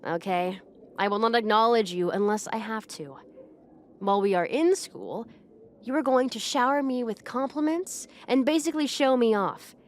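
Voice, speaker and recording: A young woman acting a proud, bossy popular high school girl who gets flustered, in a roleplay audio addressed to the listener. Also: natural voice. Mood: bossy, strict. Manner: haughty, bossy, flustered hesitations.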